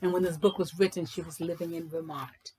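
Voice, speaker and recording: Lower-pitched woman presenting children's books. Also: fairly even intonation, brisk, dark tone, slight background noise. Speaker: read-aloud presenter introducing and reading children's books. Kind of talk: informal book talk and storybook read-aloud.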